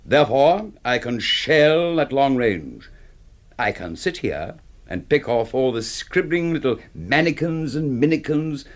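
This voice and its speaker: Pompous literary windbag, wildly swooping. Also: male voice, medium pitch, very animated, a little slow. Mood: boastful. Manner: pompous, florid, declamatory. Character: bombastic, hard-drinking middle-aged literary critic, grandiloquent and scornful. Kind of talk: radio drama acting.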